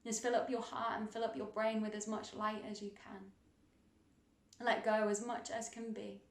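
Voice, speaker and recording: A woman leading a guided meditation in a gentle, soothing, unhurried manner, leaving long pauses between instructions. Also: medium pitch, little pitch movement. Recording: roomy, echoing sound.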